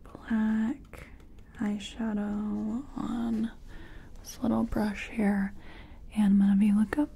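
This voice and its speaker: A woman doing a soft-spoken ASMR personal attention roleplay, murmuring gently in short phrases with a breathy whisper. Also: mid-range voice, little pitch movement, slow, slight background noise. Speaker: ASMR creator role-playing a makeup artist.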